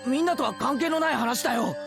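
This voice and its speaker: Jumpy evasive teen boy in anime game, stammery, creaky, music clearly behind. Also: high-pitched, rapid-fire. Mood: nervous, defensive. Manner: stammering, defensive. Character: nervous, evasive teenage boy. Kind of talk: Japanese visual novel voice acting.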